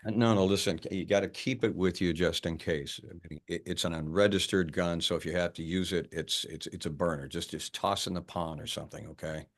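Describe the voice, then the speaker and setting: A man playing a driven, rattled detective in a film script read-through, with halting speech and repeated words. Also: sits low, fairly even intonation. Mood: urgent, reassuring. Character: driven, rattled male detective obsessed with his case. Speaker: actor at a script table read.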